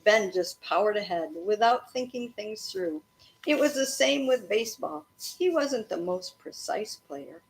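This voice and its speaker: A woman reading a children's story aloud expressively, in an animated way meant to engage a young listener. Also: a little high, measured pace, vocal fry, rough recording.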